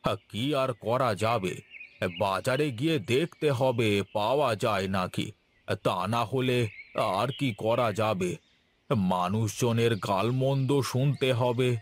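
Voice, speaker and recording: A man's voice: medium pitch, a little slow, a little hiss or hum, natural voice. A man voicing a lazy, easily flustered village landowner in a cartoon, with a blustering, exclamatory delivery. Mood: alarmed, fretful. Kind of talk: Bengali cartoon voice acting.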